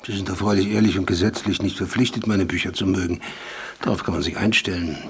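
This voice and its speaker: An actor playing a vain, world-weary philosopher-writer in a radio drama, sardonic, pompous and speaking in provocative aphorisms. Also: male voice, sits low, steady tone, fast, husky, full character voice. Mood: wry, flirtatious. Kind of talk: German radio drama acting.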